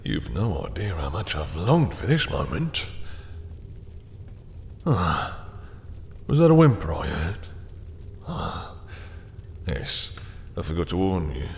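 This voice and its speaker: Man playing a very low, creaky seductive vampire. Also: wildly swooping pitch, darkish tone, full character voice. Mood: hushed, seductive. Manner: slow, silky, commanding, condescending endearments. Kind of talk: intimate roleplay audio drama acting. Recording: long reverb, faint background noise.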